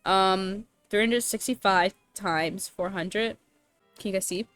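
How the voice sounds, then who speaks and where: A woman's voice: medium pitch, takes it slowly, fairly bright tone, clear, resonant tone, no echo. A woman gaming YouTuber giving casual, informal playthrough commentary in a chatty way. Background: faint game music.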